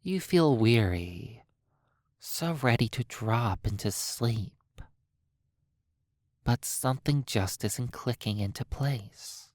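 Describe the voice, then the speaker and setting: A hypnotist guides a sleep session at an extremely slow pace. The delivery is soothing and broken by long pauses. The recording is dry, with very little room sound. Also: mid-range voice, animated, a little mellow, no room sound. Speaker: hypnotist narrator.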